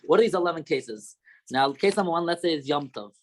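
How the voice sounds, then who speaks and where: A man's voice: a little high, lively intonation, fast talker. A young man with a light Australian accent, a rabbi teaching an informal class and explaining step by step with questions.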